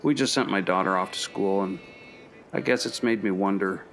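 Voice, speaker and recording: Introspective professor, middle-aged, radio drama, musing, hesitant, conversational, music behind. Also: male voice, deep voice, moderate pace, vocal fry, fairly bright tone. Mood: wistful, reflective. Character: introspective, kindly anthropology professor, a parent. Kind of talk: naturalistic radio play acting, conversational.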